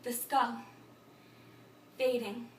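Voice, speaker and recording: A woman playing a frantic, babbling thinker in an absurdist stage monologue, incantatory and repetitive, swinging between muttering and shouting. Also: a little high, little pitch movement, very slow. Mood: anguished, incantatory. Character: frantic, babbling thinker reciting a broken learned tirade. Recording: echoey room, distant microphone.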